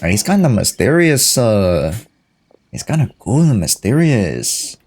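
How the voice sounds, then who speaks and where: A man's voice: fairly low pitch, big pitch swings, slow pace, darkish tone, breathy edge. A man giving casual live reactions as a game streamer, sometimes reading along and repeating himself. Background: game music.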